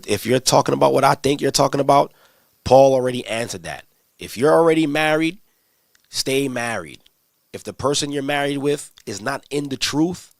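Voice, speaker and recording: A man's voice: on the low side, dry recording. A man teaching scripture in a casual livestream, answering viewers' questions in a conversational, slangy way with many restarts.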